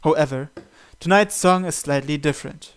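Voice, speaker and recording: A man's voice: a little high, moderate pace, clean tone. A man reading a visual novel aloud as a gaming host, acting out the text and adding his own asides. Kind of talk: dramatic read-aloud with asides. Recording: music behind the voice. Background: game music.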